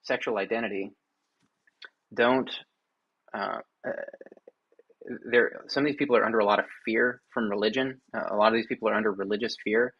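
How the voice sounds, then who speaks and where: A man hosting a call-in listening show, talking casually and hesitantly, thinking out loud with many fillers and restarts. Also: on the low side, fairly even intonation, a little fast, long pauses, husky, no echo, highs cut off. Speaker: host.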